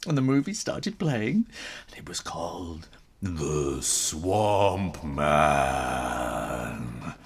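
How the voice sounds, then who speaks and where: Male children's storyteller: very slow, highly animated, theatrical pauses, whispery emphasis. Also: husky. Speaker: children's author reading his own book aloud.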